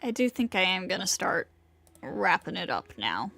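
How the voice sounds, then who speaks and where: A woman livestreaming a game, chatting casually and reacting out loud to what happens on screen. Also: lowish voice, very animated, moderate pace, gravelly. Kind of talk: casual livestream gaming chat. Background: game music.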